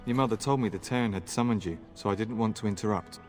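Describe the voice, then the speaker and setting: Man playing a dutiful, low-voiced young knight. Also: fast talker, soft background music, lightly acted. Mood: earnest, concerned. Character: dutiful young male knight of a noble household. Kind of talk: fantasy game dialogue acting.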